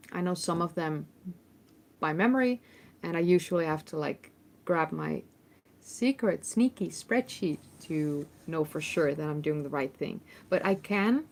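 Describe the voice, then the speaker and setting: A woman in her thirties with a Dutch accent, hosting a casual livestream Q&A and reading chat questions aloud, chatty with many ums and restarts. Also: fairly low pitch. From Netherlands. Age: 30s. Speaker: history YouTuber and host. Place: temporary room in a family home. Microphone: phone microphone.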